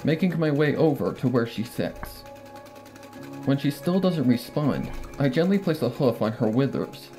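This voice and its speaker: Expressive story narrator, music behind. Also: medium pitch, moderate pace. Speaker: fan fiction narrator. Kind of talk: dramatic first-person story narration.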